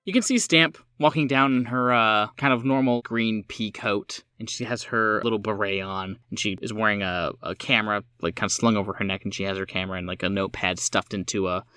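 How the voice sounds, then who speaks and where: A man's voice: on the low side, fairly even intonation, fairly quick, hardly any pauses, bright, forceful tone, clear, resonant tone, no echo. A man narrating a tabletop game as game master, improvising in a casual, conversational way. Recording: music behind the voice.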